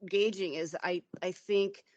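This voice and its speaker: A middle-aged woman hosting a conversation, with a fairly low voice and a dry, close sound. She talks in an emphatic, opinionated way and rambles from point to point. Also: steady tone, unhurried, dry recording, muffled recording. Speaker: host. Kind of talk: casual conversation.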